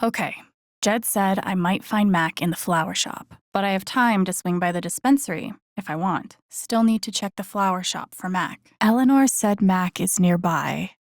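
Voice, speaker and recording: Video game lead: wry young woman, empathetic amateur sleuth, casual inner monologue, creaky voice, very dry close recording. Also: medium pitch, dry recording. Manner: casual, muttering to herself, dry humor.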